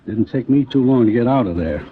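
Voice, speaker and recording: An actor with an American accent playing an indignant, rattled movie theater manager in a 1950s radio drama, plain-spoken and complaining. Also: male voice, mid-range voice, lively intonation, brisk, natural voice. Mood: indignant, shaken. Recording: muffled, slightly noisy old radio recording.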